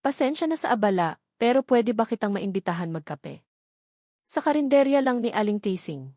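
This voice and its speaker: A woman voicing a kind, humble, gently hesitant young male porter in an audio drama. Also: mid-range voice, very animated, moderate pace, no echo, studio quality. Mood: shy, sincere. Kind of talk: audio drama love story.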